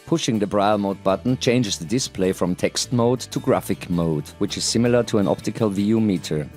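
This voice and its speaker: A middle-aged man gives a formal, deliberate scripted presentation into a headset mic in a small studio. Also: on the low side, darkish tone, soft background music. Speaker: presenter, audio educator. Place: small home studio, indoors.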